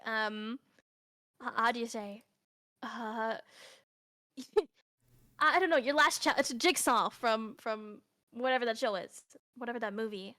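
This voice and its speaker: A woman's voice: fairly high pitch, pushed and bright. A woman guest in a casual chat, laughing often and rambling in slangy speech. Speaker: guest streamer.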